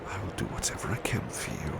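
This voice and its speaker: A man playing a devoted, proud butler in a soft-spoken ASMR roleplay, speaking in a hushed, formal and caring way. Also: close to an everyday voice. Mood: courteous, devoted. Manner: hushed, slow, formal, gently solicitous. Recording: strong background ambience noise.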